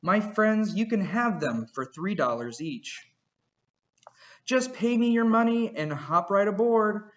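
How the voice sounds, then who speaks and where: A man voicing a slick, fast-talking male salesman with a smooth, clear and keen sales pitch. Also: expressive, dull audio. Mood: persuasive.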